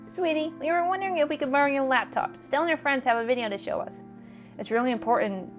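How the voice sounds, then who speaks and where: A woman performs a kindly older spiritualist lady from a small-town mystery game. Her voice is high, genteel and folksy. She is motherly and chatty, and soft music plays underneath. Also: quick pace, lightly acted. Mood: polite, coaxing. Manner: genteel, folksy, fond endearments.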